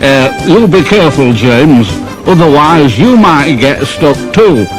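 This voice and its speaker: A man's voice: fairly high pitch, lively intonation, slow pace, music in the background. A man voicing a proud, grumpy big male steam engine who huffs and mutters sulkily. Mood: smug warning. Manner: huffy, sulky muttering.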